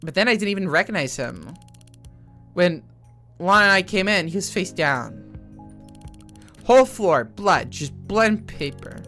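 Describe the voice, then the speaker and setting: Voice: mid-range voice, expressive, unhurried, pauses often, sharp tone, soft background music. An actor playing a jaded, sardonic adult in a gritty detective story, speaking in a blunt, sarcastic, conversational way. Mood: angry, bitter.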